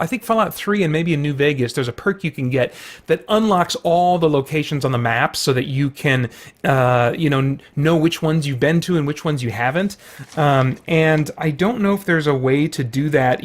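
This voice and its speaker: A man hosting a casual vlog, chatting in a meandering way and often breaking into his own sentences with asides. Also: a little high. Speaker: video host showing a collection. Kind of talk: casual rambling vlog chat.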